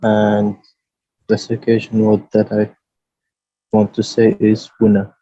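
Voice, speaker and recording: A man's voice: fairly low pitch, completely flat delivery, slow, mellow, no echo. A man from Syria introducing himself carefully in an online class. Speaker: student. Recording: dull dry lo-fi call audio.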